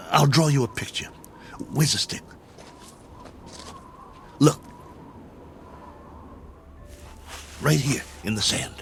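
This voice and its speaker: An actor with an American accent playing a stranded, weary, wry colonel in a 1960s television drama, explaining things in simple, halting phrases. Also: male voice, mid-range voice, a bit bright. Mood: patient, explaining. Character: stranded one-armed colonel, weary, wry, patient then exasperated spaceman. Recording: old TV soundtrack with music underneath.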